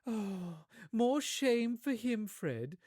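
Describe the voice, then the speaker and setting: A man's voice: high-pitched, very animated, very slow, darkish tone, dry recording, studio quality, voice pushed up, full character voice. A man voicing an indignant, impatient young wife with a pointed manner in a storybook reading.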